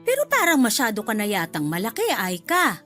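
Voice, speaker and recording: A woman's voice: fairly high pitch, huge pitch swings, fairly quick, bright, forceful tone, soft background music, full character voice. A woman playing a meddling middle-aged mother-in-law in a radio drama, chatty and sweetly critical. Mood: needling. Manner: chatty, fussing, needling under politeness.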